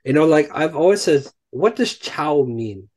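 A young man hosting a podcast interview from home on a headset, speaking haltingly with many restarts. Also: fairly high pitch, expressive, a little mellow. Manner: hesitant, many fillers and restarts. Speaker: podcast host. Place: home room, indoors. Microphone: headset on video call.

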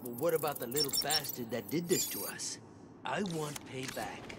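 A man's voice: mid-range voice, lively intonation, moderate pace, natural voice. An actor playing a bitter, hot-headed policeman out for revenge in a video game, blunt and menacing. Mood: vengeful anger. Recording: quiet voice under loud game noise.

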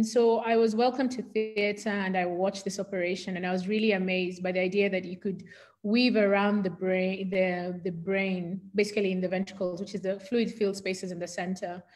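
A woman's voice: mid-range voice, a little mellow. A middle-aged woman with a Kenyan accent, speaking as an interview guest and explaining things in an articulate, conversational way. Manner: articulate, explanatory, defines medical terms.

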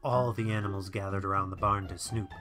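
Female storybook narrator, very low register, expressive read-aloud delivery over soft music. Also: fairly quick.

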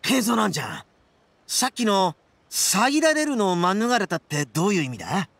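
An actor voicing a brash, boastful young male oni gang boss in a game, with rough, swaggering, melodramatic speech. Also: high-pitched, sing-song, normal speed, a bit bright, dry recording, heavily acted. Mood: shocked, dejected. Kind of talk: Japanese game voice acting.

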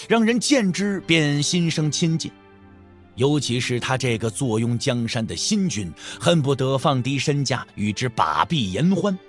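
A man narrating an audio drama with expressive, literary, scripted storytelling. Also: on the high side.

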